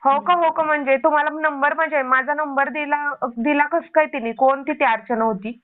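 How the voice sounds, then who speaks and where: A woman's voice: sits high, fairly even intonation, normal speed, faint music behind. A woman arguing on a phone call, confrontational and firing one indignant rhetorical question after another. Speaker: prank-call video creator. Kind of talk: argumentative phone conversation. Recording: narrow tinny phone-line sound.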